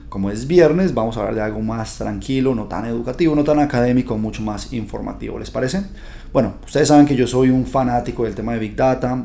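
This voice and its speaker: A man's voice: mid-range voice, creaky, warm tone, slight background noise. A man hosting a podcast, talking to his listeners in a chatty, upbeat, direct way. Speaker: podcast host. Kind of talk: casual podcast monologue.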